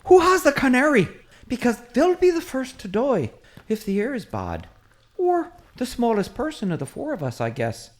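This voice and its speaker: Woman acting a little Irish priest, high-pitched, wildly swooping intonation, eager rambling, comic audio drama. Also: huge pitch swings, normal speed, darkish tone. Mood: anxious. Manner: rambling, eager, easily distracted. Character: chatty, excitable short Irish priest, a man.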